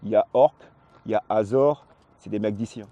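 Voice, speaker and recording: Dark-voiced man, hesitant and slangy. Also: fairly low pitch, fairly quick, no room sound, lo-fi sound. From Paris, France, born in Réunion. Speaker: interviewee, hip-hop graffiti artist. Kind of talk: casual interview chat.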